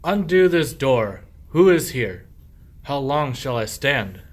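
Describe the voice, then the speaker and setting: Sly, weary henpecked husband played by a man, slow theatrical verse delivery, scheming comic rogue for radio play. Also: medium pitch, big pitch swings, noisy background. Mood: blustering, conspiratorial. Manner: theatrical, declaiming rhymed verse. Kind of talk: verse radio play acting of a medieval comedy.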